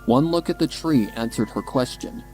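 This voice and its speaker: A man narrating a dramatic scripted story in a measured, suspenseful manner. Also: medium pitch, expressive, a little mellow, poor audio. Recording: music behind the voice. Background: music.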